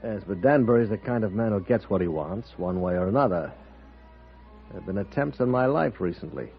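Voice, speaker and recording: A man's voice: on the low side, lively intonation, a little fast, creaky. An actor playing a proud but uneasy railroad man in a 1950s radio western, speaking earnestly and formally. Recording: muffled vintage radio broadcast with faint music.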